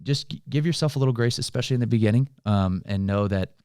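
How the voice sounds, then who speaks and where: A man's voice: mid-range voice, fast, a little mellow, clean tone, dry recording, studio quality. A man hosting a casual motivational conversation, explaining things in an encouraging, coaching way. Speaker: podcast host.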